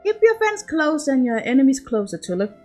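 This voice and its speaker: A woman's voice: sits high, sing-song, fairly quick, warm tone, voice pushed up. A genteel countess, played by a woman narrator from a period storybook. She is a proud, shrewd mother with a gracious manner. Faint music sits behind her. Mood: doting.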